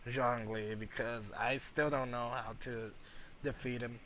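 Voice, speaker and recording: Slow, halting young male game streamer. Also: medium pitch, steady tone, fairly bright tone, slight background noise. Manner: halting, hesitant. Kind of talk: casual livestream chat and commentary. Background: game music.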